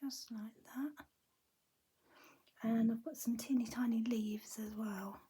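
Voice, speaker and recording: A slow, unhurried woman walks through a papercraft card at her craft desk, her voice husky and croaky as though she is losing it. She talks in a chatty, casual way and keeps dropping into soft, breathy murmurs while she works. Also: on the high side, steady tone. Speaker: card-making tutor.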